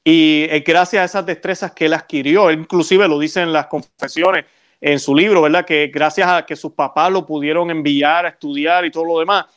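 A male host lays out an argument in a persuasive, explanatory way, tossing in quick tag questions to his guest. His voice is somewhat high for a man. It sounds like a friendly sit-down conversation. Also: steady tone, fairly bright tone, clean tone. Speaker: interview host. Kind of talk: conversational interview, faith talk.

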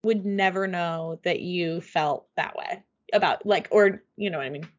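A young woman with a low voice co-hosts a casual podcast. She rambles, fills her talk with "like" and restarts her sentences. The recording sounds dull and lo-fi. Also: normal speed. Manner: rambling, full of "like", restarts mid-thought. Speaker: podcast co-host. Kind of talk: casual chat.

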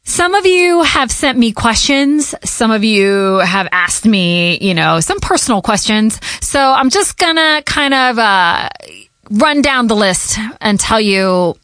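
A woman's voice: a little high, big pitch swings, takes it slowly, a bit bright, dry recording. Chatty woman radio host, dry sound. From Connecticut, USA. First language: Portuguese. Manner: chatty, loose, laughs between thoughts. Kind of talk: casual personal Q&A to listeners.

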